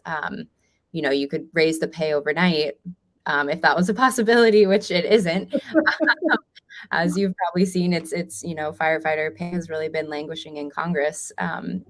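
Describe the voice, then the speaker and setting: Low-pitched American woman interviewing conversationally. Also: moderate pace. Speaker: reporter hosting a conversation.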